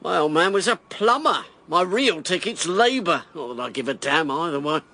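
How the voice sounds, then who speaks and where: An actor playing a brash, cynical war hero turned election candidate in a radio drama, British, with a blunt, punchy delivery. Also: male voice, fairly high pitch, lively intonation, moderate pace, bright, forceful tone, exaggerated voice.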